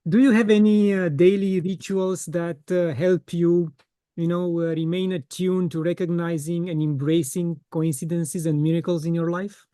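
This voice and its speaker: Male podcast host, warm mellow tone, dry close sound, hesitant and filler-heavy. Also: fairly high pitch, steady tone, normal speed, smooth, no room sound. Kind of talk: casual interview conversation.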